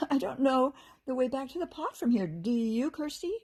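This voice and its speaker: A woman's voice: sits high, animated, normal speed, mellow, voice pushed up. A young girl adventurer from a children's storybook, acted by a woman speaks in a lightly raised voice. She is plucky but uncertain, with a hesitant, questioning way of talking. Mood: dismayed.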